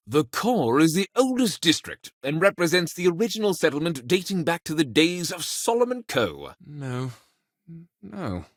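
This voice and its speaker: A polite, talkative man who serves as an official in a frontier space colony, made for a video game. His speech is folksy and earnest, with lively swings in pitch. The recording is dry and close, with no room sound. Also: no room sound. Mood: defensive. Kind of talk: video game character dialogue.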